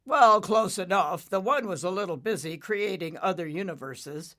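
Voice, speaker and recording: A woman voicing a proud, booming father storm cloud with a smug manner. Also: animated, normal speed, a bit bright.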